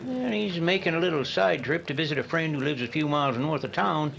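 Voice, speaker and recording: A man's voice: on the high side, expressive, a little fast, vocal fry, crowd noise, poor audio. A man playing a friendly, chatty small-town cafe man in a radio drama, folksy and rambling. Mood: chatty, helpful. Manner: folksy, rambling, stop-and-start delivery. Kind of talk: old-time radio drama acting.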